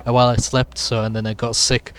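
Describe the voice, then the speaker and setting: A man with a sick, raspy voice casually comments on his gameplay, rambling along with lots of fillers. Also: medium pitch, level tone, fast, fairly bright tone. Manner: rambling, with many fillers and restarts. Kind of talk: casual let's-play commentary.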